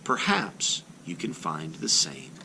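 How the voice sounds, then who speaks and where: A man voicing a gentle, caring village wife in a storybook, speaking softly. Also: rumbling low, huge pitch swings, slow pace, creaky, noisy background, voice pushed down, exaggerated voice. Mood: gentle, concerned. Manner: soft, gentle, quietly questioning. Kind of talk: storybook read-aloud character voice.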